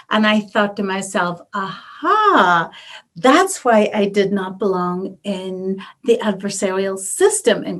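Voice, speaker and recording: A middle-aged woman from Northern Ireland with a Northern Irish accent, an estate planning attorney giving a warm, effusive library talk. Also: mid-range voice. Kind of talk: library presentation.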